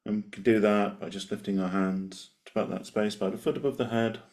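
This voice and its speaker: Male meditation guide, low register, gentle unhurried delivery with plenty of space between lines. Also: steady tone, gravelly. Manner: gentle, unhurried invitations with long pauses.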